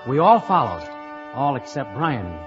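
A man's voice: mid-range voice, very animated, normal speed, over music, lightly acted. An actor playing a breezy, slangy young man who also narrates in first person, in a 1950s radio drama on a dull broadcast recording. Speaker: narrator and lead character.

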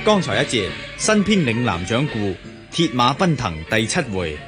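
A man's voice: medium pitch, sing-song, moderate pace. A man with a Hong Kong Cantonese accent reading a formal scripted radio announcement. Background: loud music.

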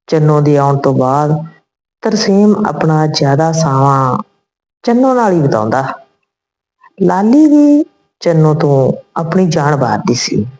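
A woman narrates a scripted story as a storyteller, reading deliberately in short phrases. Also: deep voice, very animated, a little mellow. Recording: roomy, echoing voice recording.

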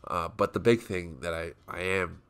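A young man talks in a very low, gravelly voice, his nose clearly stuffed up. He rambles offhandedly and keeps correcting himself mid-thought, like someone chatting while his hands are busy at a desk. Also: steady tone, moderate pace, a bit bright. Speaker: toy photography YouTuber. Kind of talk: casual rambling commentary while working. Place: home desk with small photo set.

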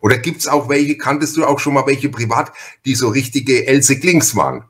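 Man, podcast host, casual chat, rambling, word repetitions, mid-range pitch. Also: animated, moderate pace. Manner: rambling, repeats words.

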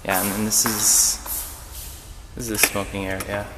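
A young man giving a casual, halting, understated walk-through tour on a handheld camera. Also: level tone, gravelly, heavy background noise. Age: young adult. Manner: low-key, halting, understated. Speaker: exchange student giving a tour. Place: indoors in a student dormitory, walking through rooms.

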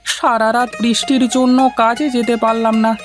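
Woman voicing a high-pitched worried village father. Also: level tone, moderate pace, faint music behind, close to an everyday voice. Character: worried, poor village father who keeps ducks. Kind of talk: cartoon dub dialogue.

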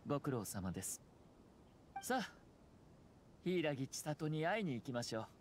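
An actor playing a composed, courteous young aristocratic lord in a Japanese anime game, formal and gently authoritative. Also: male voice, fairly high pitch, very animated, a little slow, slight background noise.

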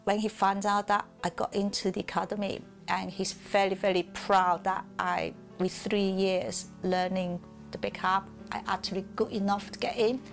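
A woman's voice: medium pitch, little pitch movement, moderate pace, creaky, few pauses, soft background music. A middle-aged woman from southern China with a Chinese accent, telling her life story candidly in an interview. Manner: candid, reflective, laughs softly now and then. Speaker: musician.

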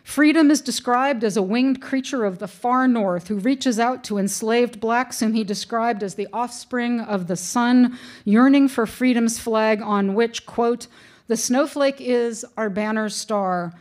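A woman's voice: fairly high pitch, measured pace, a little mellow. A middle-aged woman reading a prepared lecture from notes at a lectern, with dry humorous asides, into a lectern microphone in a wood-paneled room.

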